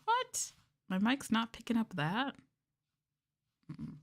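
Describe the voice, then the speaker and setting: A woman's voice: on the high side, wildly swooping pitch, takes it slowly, fairly bright tone. A woman streamer chatting casually on an ASMR livestream, playful, giggly and a bit hesitant, close to the mic. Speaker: VTuber streamer.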